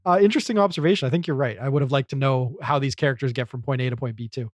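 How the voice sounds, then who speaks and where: A man's voice: medium pitch, very fast, no echo, clean studio sound. A man co-hosting a podcast, discussing film casually in a thoughtful, explanatory way. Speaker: podcast host.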